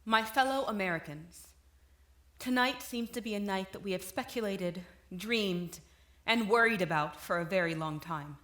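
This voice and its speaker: An actress playing a solemn vice president giving a grave, oratorical address to the nation in a radio drama. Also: female voice, medium pitch, moderate pace, a bit bright, lightly acted.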